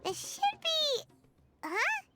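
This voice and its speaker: A woman voicing a small, excitable young girl companion in a fantasy video game, earnest and exclamatory. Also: very high-pitched, drawn-out words, over music, heavily acted. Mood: puzzled.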